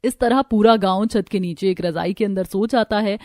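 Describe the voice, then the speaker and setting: A woman reading a scripted story as the narrator of an animated cartoon. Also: mid-range voice, normal speed, no echo, clean studio sound, faint music behind.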